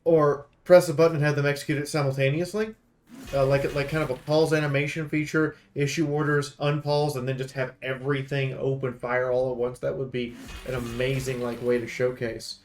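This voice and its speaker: A man giving casual, off-the-cuff commentary as a video game reviewer, talking in an animated, rambling, opinionated way with casual slang. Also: mid-range voice, brisk, a little hiss or hum.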